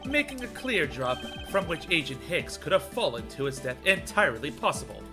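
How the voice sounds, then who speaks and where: A man's voice: a little high, very animated. Man playing a formal, self-assured prosecutor over music. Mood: composed, confident. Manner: formal, deliberate, theatrical. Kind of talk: comedic fan dub of a video game. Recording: game music under the voice. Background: game music.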